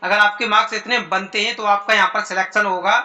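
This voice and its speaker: A man's voice: high voice, steady tone, quick pace, bright, forceful tone. A man giving an informal explainer to students as an exam-prep presenter, in repetitive, formulaic phrasing.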